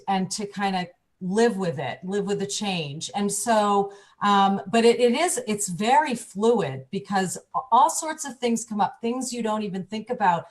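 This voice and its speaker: A woman working as a divorce coach, explaining calmly on a talk panel, often restarting her sentences. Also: mid-range voice. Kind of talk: panel discussion.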